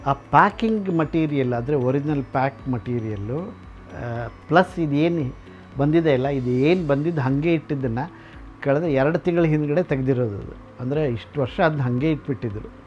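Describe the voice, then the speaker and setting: A man talks in an informal, explanatory way, like a collector showing visitors around. His mid-pitched speech comes in halting stretches with pauses and some English terms. The recording is a little dull and lo-fi, with music playing behind him. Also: darkish tone. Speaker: vintage radio collector and restorer. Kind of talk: informal explanatory museum tour to camera. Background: background music.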